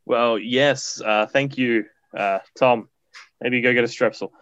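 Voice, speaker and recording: A man's voice: medium pitch, fairly even intonation, slow, fairly bright tone, clean tone, dry recording, highs cut off. A man co-hosting a student radio show, chatty and upbeat as he introduces songs. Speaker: radio co-host. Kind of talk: casual radio chat, song introductions.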